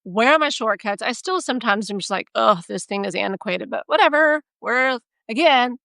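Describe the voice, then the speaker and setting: A woman with a Texas accent co-hosting a casual chat, animated and self-mocking as she tells stories. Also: a little high, normal speed, gravelly, dry recording, clean studio sound. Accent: Texan. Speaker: podcast co-host.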